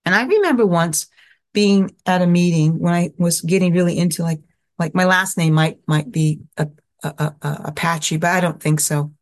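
A woman from the American Southwest telling her personal recovery story in a halting, reflective way, with frequent restarts and fillers. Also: fairly low pitch.

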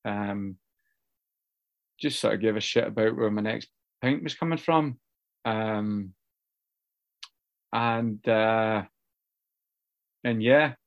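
A Scottish man telling his own story of drink and drugs, halting and candid, with many pauses, fillers and restarts. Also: lowish voice, no room sound, dull audio. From Scotland. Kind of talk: personal recovery story, casual monologue.

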